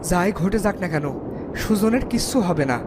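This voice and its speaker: A woman's voice: fairly low pitch, animated, moderate pace, background noise, lightly acted. A woman voicing a steadfast, protective village woodcutter father in a fairy-tale cartoon, speaking firmly. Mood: reassuring.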